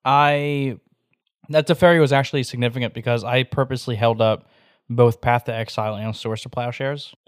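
A man's voice: medium pitch, fairly even intonation, moderate pace, clean tone, dry recording, clean studio sound. A young man co-hosting a card game podcast, rambling casually with lots of fillers and self-deprecating jokes. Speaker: podcast co-host. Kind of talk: casual chat.

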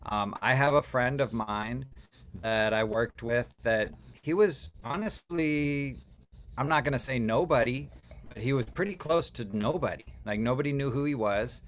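A man's voice: mid-range voice, moderate pace, a little hiss or hum. A man speaking casually and at length as a guest music producer, with slangy, talkative phrasing. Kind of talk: casual podcast chat.

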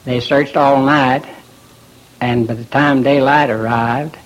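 A man recounting events in a plain, deliberate way. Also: mid-range voice, fairly even intonation. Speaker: storyteller of events. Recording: dull old lo-fi recording.

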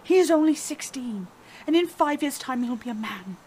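High-voiced protective queen acted by a woman, noisy recording. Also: animated, normal speed, darkish tone. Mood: pleading. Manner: formal, indignant, earnest. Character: firm, protective queen pleading for her son. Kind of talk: amateur fantasy audio drama acting. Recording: noticeable background noise.

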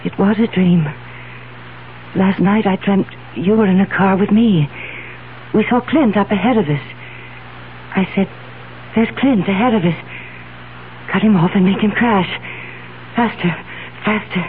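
A woman's voice: mid-range voice, measured pace, pauses often, phone-quality sound, lightly acted. A cool, wealthy fiancée, played by a woman who speaks with controlled insistence and schemes without flinching. It is vintage radio drama, heard through a thin, faintly hissy recording. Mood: intense, haunted. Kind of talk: 1970s radio drama acting.

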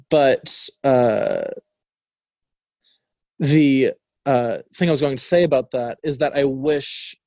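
A man's voice: mid-range voice, darkish tone, no echo. A young man co-hosting a college radio show, chatting casually about a record with many fillers and restarts. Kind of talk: casual music review chat. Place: radio studio. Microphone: studio mic.

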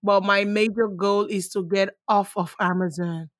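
A woman's voice: mid-range voice, no room sound. Talkative woman guest, dry sound. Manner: lively, talkative, quick bursts. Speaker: guest, online seller. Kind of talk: casual interview answers.

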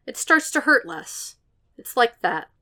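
A woman voicing an earnest young man who speaks with eager, rising, loud bursts. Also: a little high, very animated, unhurried, pitched above the performer's own voice, exaggerated voice.

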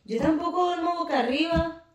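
A woman's voice: very high-pitched, a little fast, far from the mic. A woman with a Colombian Caribbean coast accent, co-hosting a casual podcast chat, laughing often and teasing. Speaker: podcast co-host. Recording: echoey room, off-mic.

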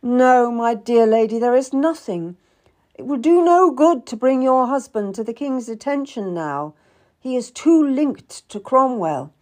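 A woman's voice: a little high, very animated, a little slow, a little mellow, voice pushed up, heavily acted. A woman voicing a brusque, condescending, calculating Tudor nobleman in a dramatised audiobook. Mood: persuasive, calculating. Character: curt, haughty Tudor nobleman, busy and calculating.

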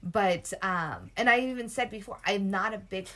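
A woman's voice: mid-range voice, normal speed, a loud crowd. A woman in her thirties co-hosting a casual chat, candid and laid-back. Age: 30s. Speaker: podcast co-host.

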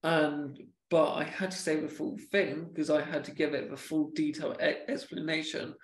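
A man chatting casually on a podcast, speaking in a halting way with repeated words from a stammer. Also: fairly high pitch, level tone, echoey room, low-quality recording.

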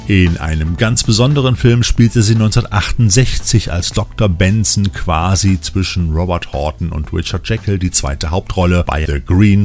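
A man's voice: low-pitched, a little mellow. A man hosting a magazine show, reading scripted narration. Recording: music bed behind the voice. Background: music.

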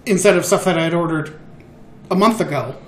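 A podcast co-host chatting casually in an animated, sweary storytelling way, often acting out quotes. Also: mid-range voice, fairly quick. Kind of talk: casual group chat. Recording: roomy echo, audible background noise.

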